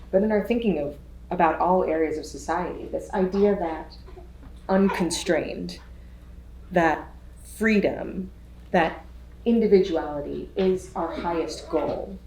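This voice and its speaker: A woman's voice: lowish voice, mellow. A woman panelist thinking aloud in a chatty, musing way, with frequent restarts. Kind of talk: casual panel discussion. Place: lecture hall. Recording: echoey hall with faint noise.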